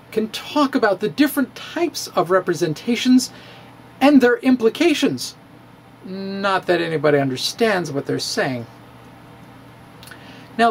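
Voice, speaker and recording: Wry man with lively pitch. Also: a little hiss or hum. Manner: wry, playful, laughs at own jokes. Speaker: science blogger. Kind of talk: comic dramatic reading. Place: small room with bookshelves, indoors. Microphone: handheld mic on stand, close.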